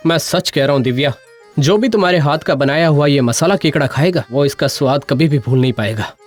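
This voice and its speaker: A man voicing a kind, supportive village husband in an animated story, easily impressed. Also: fairly high pitch, fairly quick, faint music behind, lightly acted. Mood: pleased. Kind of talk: animated cartoon dubbing.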